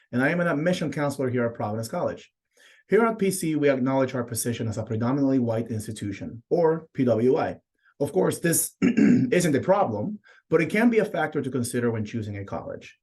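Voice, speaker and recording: A young man, an admission counselor, opening a webinar over a video call with a measured, formal, scripted delivery from a small office. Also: medium pitch, lively intonation, darkish tone. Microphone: laptop mic on video call.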